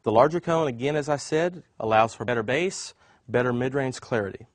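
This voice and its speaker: A man's voice: medium pitch, brisk, dry recording. A man presenting an instructional product demonstration, explaining things step by step as a demonstrator.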